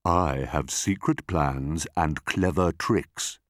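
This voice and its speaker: Man voicing a slow, gloating crocodile villain. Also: very deep voice, dry recording, clean studio sound. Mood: gloating, menacing. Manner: slow, drawn-out, gloating delivery. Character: enormous sly, boastful crocodile villain hungry for children. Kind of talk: children's storybook character voice.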